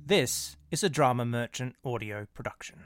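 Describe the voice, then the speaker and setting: A man narrates as host of a radio drama, reading scripted lines like a storyteller. His mid-pitched voice sits over clearly audible music. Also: expressive, normal speed.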